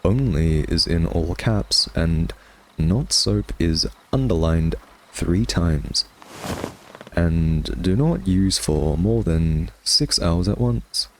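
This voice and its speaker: A man's voice: low-pitched, heavily acted. A man playing a smug male vampire in an ASMR roleplay, taunting a captured hunter with a sardonic, teasing, conversational drawl. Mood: dry reading aloud.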